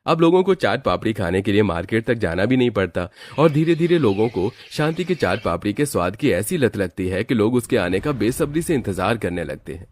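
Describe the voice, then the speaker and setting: A man's voice: on the low side, very animated, a little fast, few pauses, a little mellow. A man narrating a scripted moral cartoon story as its storyteller. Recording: music bed behind the voice.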